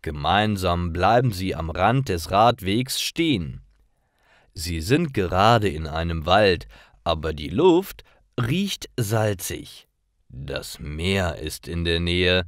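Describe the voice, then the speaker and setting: Low-voiced man narrating with lively swings. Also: slow, no room sound, studio quality. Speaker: narrator of stories for language learners. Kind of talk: scripted storybook narration for learners.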